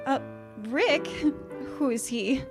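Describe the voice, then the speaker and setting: Woman acting an elegant, poised young lady, highish voice. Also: drawn-out words, music in the background, heavily acted. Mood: polite, curious. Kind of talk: 1940s radio theater acting.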